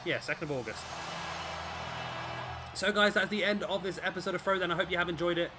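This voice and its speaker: A man with a raspy, fading voice, commentating on a wrestling video game as the show's host. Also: high voice, brisk, over loud music. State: hoarse, voice fading. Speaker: host and commentator of a gaming series. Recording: clearly noisy background.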